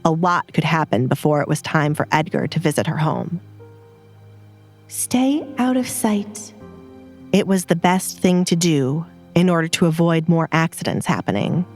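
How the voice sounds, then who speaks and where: A woman's voice: sits low, moderate pace, hardly any pauses. Woman narrating an audio drama over music.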